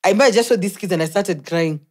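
A woman from Kenya co-hosting a podcast, animated and quick to react. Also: medium pitch. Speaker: podcast co-host. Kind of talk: casual podcast chat. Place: podcast studio.

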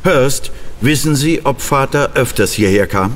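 An actor playing a courteous, troubled aristocratic castle lord in a German audio drama, speaking formally. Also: male voice, mid-range voice, lively intonation, unhurried, a little hiss or hum, close to an everyday voice. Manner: polite, formal.

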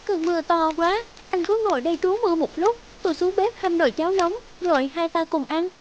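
An actress playing a sly fox demon in the guise of a young maiden, coaxing and melodramatic, in a children's cartoon. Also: female voice, very high voice, a little fast, muffled recording, a little hiss or hum. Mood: sweetly coaxing. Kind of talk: children's fairy-tale cartoon dubbing.

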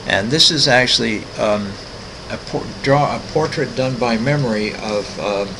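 A man in his sixties, an artist walking through his website, talking casually with many ums, pauses and restarts. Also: medium pitch, background noise. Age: 60s. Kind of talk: casual screen-recorded walkthrough.

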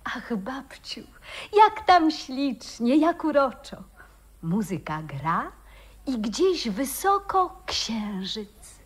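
A dreamy young woman of the radio drama. She speaks in a high, very expressive voice, lyrical and affectionate, taking her time. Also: extremely slow, slightly rough, exaggerated voice. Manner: lyrical, exclamatory. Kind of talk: radio play acting.

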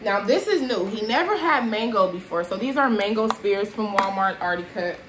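A young woman talks casually to her viewers, calling them y'all as she moves around a small kitchen. Her voice sits at a middle pitch and comes from a camera across the room, so the room echoes. Steady background noise runs underneath. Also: fairly quick. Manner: chatty, addresses viewers as y'all, moving around while unpacking bags. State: tired and sweaty. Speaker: vlogger showing a grocery haul. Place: small apartment kitchen, indoors. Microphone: camera at a distance across the room.

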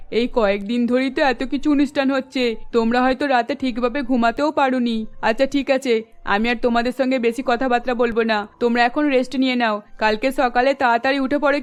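A woman playing a gracious middle-aged mother-in-law in a cartoon story, polite with gentle authority. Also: high voice, smooth, lightly acted.

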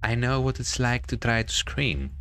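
A man's voice: medium pitch, animated, normal speed, a bit bright, clean tone, voice pushed up. A man reading the part of a vain, bitter ghost of a hanged girl in a horror visual novel, with dramatic storytelling. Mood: brooding.

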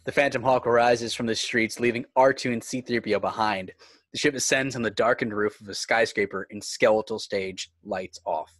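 A man's voice: on the low side, normal speed, a bit bright, no room sound. Male narrator, close dry sound. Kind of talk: scripted screenplay narration. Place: indoors on a home video call.

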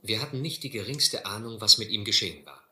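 An actor playing an earnest, educated man in a sea adventure radio play, with a measured, literary delivery. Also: fairly low pitch, expressive, pushed and bright. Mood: urgent, concerned. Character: earnest, educated castaway man narrating his sea ordeal. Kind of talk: German radio play acting and narration. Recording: roomy, faintly noisy old radio play.

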